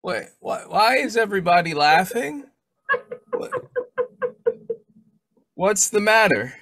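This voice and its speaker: A man playing a confused, groggy drunk in an old-time radio drama, halting and bewildered. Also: sits high, sing-song, fairly bright tone, heavily acted.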